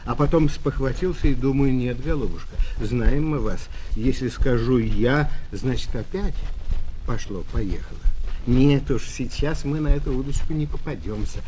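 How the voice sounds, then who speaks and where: An actor playing an exasperated tutor who narrates a children's audio play in an expressive, emphatic way, on a noisy old record. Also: male voice, mid-range voice, normal speed, natural voice. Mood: wry storytelling. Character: exasperated adult tutor of a little girl, narrating. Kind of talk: theatrical children's storytelling, Soviet audio play. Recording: noisy 1970s flexi-disc record.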